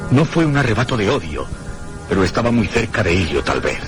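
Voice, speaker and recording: A low-voiced, uneasy gentleman caught in a ghostly radio mystery. His delivery is formal and brooding, with dramatic rises and falls over music. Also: big pitch swings, brisk. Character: troubled, inquisitive gentleman hotel guest recounting a ghostly mystery. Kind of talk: radio mystery acting and first-person narration.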